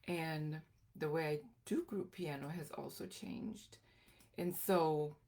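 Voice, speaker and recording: Slow-paced, creaky-voiced middle-aged woman teaching. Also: on the low side, darkish tone. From Costa Rica. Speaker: group piano teacher presenting a lesson. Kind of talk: informal instructional talk.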